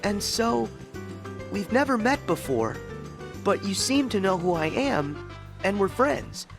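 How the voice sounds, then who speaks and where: An earnest teenage game hero, with a youthful and very high male voice. He speaks slowly and puzzles things out with lively swings in pitch. Music plays clearly behind him. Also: full character voice. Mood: puzzled. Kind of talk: animated video game voice acting.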